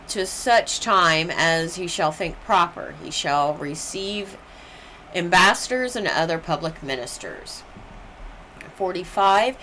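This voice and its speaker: A woman reading educational material aloud as a presenter, in deliberate, enunciated short phrases. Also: mid-range voice, a bit bright, a little hiss or hum, low-quality recording.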